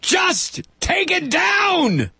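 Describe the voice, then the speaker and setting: An American man hosting a political talk show in an opinionated, sarcastic and indignant monologue, with dramatic emphasis and a gravelly, husky voice. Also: huge pitch swings, drawn-out words, no room sound. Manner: dramatic emphasis, swinging from low asides to shouts. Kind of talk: opinionated radio monologue, reading and commenting on listener mail and news.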